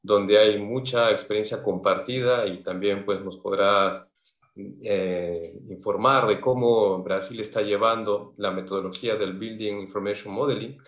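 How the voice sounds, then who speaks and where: Low-voiced man on a roomy call. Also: fairly even intonation, unhurried. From Peru. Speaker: engineer, forum organizer. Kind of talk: panel discussion on a video call. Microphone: video call. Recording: roomy video-call audio.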